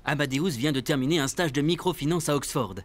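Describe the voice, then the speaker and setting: An actor voicing an enthusiastic, easily delighted father in an animated cartoon, expressive, with music behind. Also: fairly high pitch, fast talker, lightly acted. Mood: explaining.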